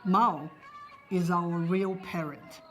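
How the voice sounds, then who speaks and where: A woman with a Mandarin mother tongue speaks slowly and carefully, as if weighing each word. Her voice sits on the low side, and music plays quietly beneath her interview. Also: steady tone. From China. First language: Mandarin Chinese. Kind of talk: documentary interview testimony. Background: background music.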